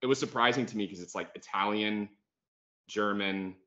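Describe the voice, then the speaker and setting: Laid-back American man, bright low voice. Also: normal speed. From United States. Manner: laid-back, says "like" a lot. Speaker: podcast guest. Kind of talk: casual video-call interview. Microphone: video call. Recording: muffled video-call audio.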